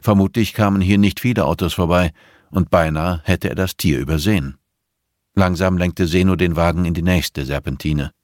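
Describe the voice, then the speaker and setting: A man's voice: low voice, moderate pace, no echo, studio quality. A man reading scripted prose as the narrator of an audiobook.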